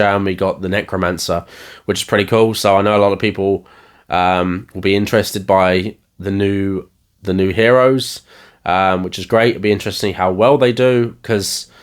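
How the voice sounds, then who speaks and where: A man hosting a card game channel, talking casually with plenty of ums, his voice a bit croaky from recent illness. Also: on the low side. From England. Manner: rambling, lots of ums and uhs. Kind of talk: casual solo video update.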